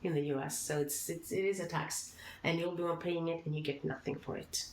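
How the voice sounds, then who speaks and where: A woman from Sudan, a founder being interviewed, giving earnest advice in short, halting phrases. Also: deep voice, steady tone. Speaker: guest, founder. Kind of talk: podcast interview answers. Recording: echoey room, faint noise.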